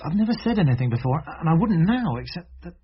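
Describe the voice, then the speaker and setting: An actor with a British accent playing an anxious, reluctant teenage schoolboy in a radio drama, talking haltingly. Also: male voice, fairly high pitch, sing-song. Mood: nervous, defensive. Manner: halting, nervous. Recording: muffled, noisy old radio recording.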